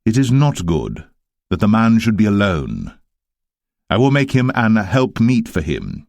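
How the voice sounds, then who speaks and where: A man playing a stern, commanding God, solemn, measured and authoritative, in a dramatised scripture reading. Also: lowish voice, sing-song, creaky, a little mellow, dry recording, pitched above the performer's own voice, heavily acted. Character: almighty God, stern commanding male judge.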